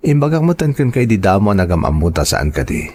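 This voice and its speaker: Man playing an earnest, expressive grown son. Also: lowish voice, a little fast, pitched below the performer's own voice. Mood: earnest, coaxing. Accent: Ilocano.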